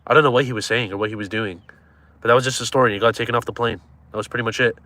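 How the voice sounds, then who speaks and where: A man's voice: mid-range voice, fairly even intonation, very fast, pushed and bright, no room sound. A man in his twenties chatting casually on a live stream, joking and teasing viewers as he reads their comments. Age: 20s.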